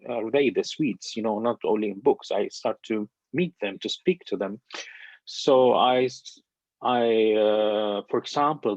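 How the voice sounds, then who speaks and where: Man from Syria, low voice, halting speech with fillers, dry and slightly muffled sound. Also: darkish tone, no echo. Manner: hesitant, many fillers and restarts. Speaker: guest, documentary narrator. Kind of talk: conversational panel interview.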